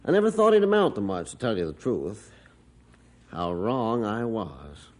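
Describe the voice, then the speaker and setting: A man's voice: on the low side, very animated, normal speed. A man playing a modest middle-aged rancher and father of two in an old radio drama, telling his story in a hesitant way. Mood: reflective. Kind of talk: 1950s radio drama acting.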